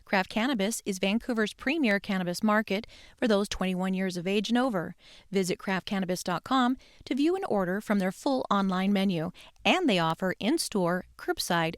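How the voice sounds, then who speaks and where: A woman's voice: mid-range voice, no room sound, clean studio sound. An American woman reading a scripted sponsor message for radio. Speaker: sponsor announcer.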